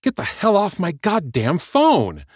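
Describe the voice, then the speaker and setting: A man voicing a hostile, suspicious famous woman who talks harshly and briskly. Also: lowish voice, very animated, husky, no room sound, studio quality, exaggerated voice.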